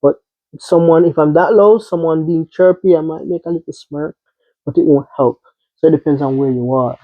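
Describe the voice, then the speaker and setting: Higher-pitched man on a tinny, phone-like line. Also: fairly even intonation, moderate pace, dry recording. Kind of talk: casual personal discussion. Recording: tinny phone-like band-limited sound.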